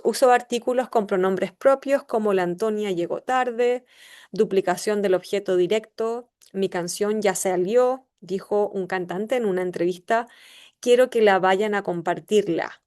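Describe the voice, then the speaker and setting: A Chilean woman in her forties with a Chilean Spanish accent, giving a measured class presentation with small filler pauses. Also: medium pitch, a little mellow. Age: 40s. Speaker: student presenter.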